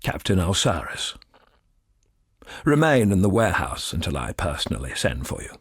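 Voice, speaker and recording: Cold scholar-mage, very low raspy voice, measured commands, proud, dry studio sound, video game. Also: male voice, sing-song, dry recording, full character voice. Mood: imperious, proud. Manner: formal, measured, authoritative. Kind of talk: formal in-game letter reading.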